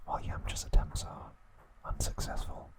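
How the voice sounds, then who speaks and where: A man narrating in a breathy, unvoiced ASMR whisper, hushed and measured, in short phrases. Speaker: host of an ASMR trivia channel.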